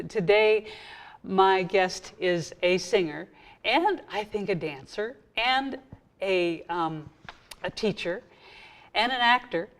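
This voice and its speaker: A woman hosting a community television interview, pausing often and searching for her words. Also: fairly low pitch. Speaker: host.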